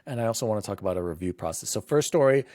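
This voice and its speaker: A man's voice: fairly low pitch, lively intonation, racing, darkish tone, dry recording. A man hosting a casual gaming podcast, talking in an informal, enthusiastic, rambling way. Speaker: podcast host.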